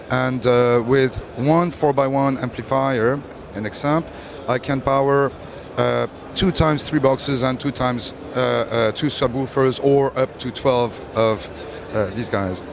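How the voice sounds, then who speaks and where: A man with a medium-pitched voice presents a product on behalf of his company. He speaks off the cuff in a technical, explanatory way, halting as he goes. A busy exhibition crowd is clearly heard around him. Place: exhibition stand at a trade show. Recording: busy trade-show hall with crowd murmur.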